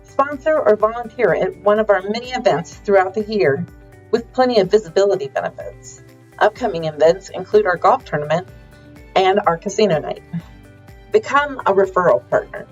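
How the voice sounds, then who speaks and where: A woman reads a scripted charity announcement, briskly running through a list of items. Her pitch is middling. The sound is dull, with faint music underneath. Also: expressive, husky.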